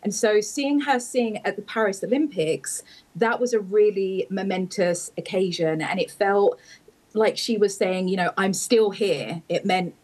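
Female interviewee, earnest, mid-pitched voice, pauses with fillers. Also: a little mellow, low-quality recording. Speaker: interviewee fan. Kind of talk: recorded interview answer.

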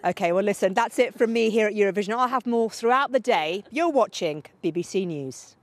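A woman's voice: medium pitch, animated, a little fast. A woman reporting live on television from an event, chatting and bantering with her guests in a live interview style. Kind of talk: live outside broadcast interviewing.

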